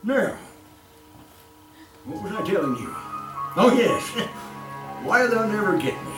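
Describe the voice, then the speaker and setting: An actor playing a boastful, cunning mad serial killer in old-time radio horror style, with a chuckling, halting, sinister delivery. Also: male voice, high-pitched, sing-song, full character voice. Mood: agitated, imperious. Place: live theatre stage performance. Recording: echoey live stage recording, distant mic, music.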